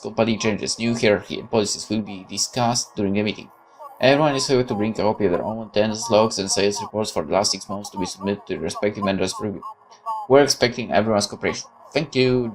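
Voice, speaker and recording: A man's voice: fairly low pitch, rough recording. A man hosting a gaming video, reading visual novel text aloud and adding casual commentary.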